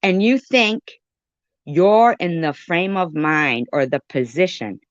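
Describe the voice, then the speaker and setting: A woman's voice: lowish voice, animated, takes it slowly, no room sound, lo-fi sound. A woman giving an informal live-stream rant in an irritated, emphatic way, repeating phrases to drive her point home.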